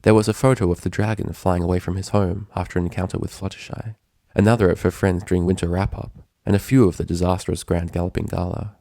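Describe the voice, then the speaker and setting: A man's voice: sits low, little pitch movement, quick pace, gravelly, dark tone, dry recording, studio quality. A man reading a story aloud as a scripted narration. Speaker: fan fiction narrator.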